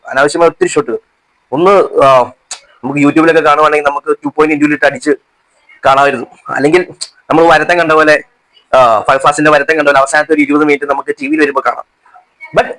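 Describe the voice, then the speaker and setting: A man giving a casual film review to camera, halting at times and dropping in English words. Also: fairly high pitch, a bit bright, poor audio. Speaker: movie reviewer.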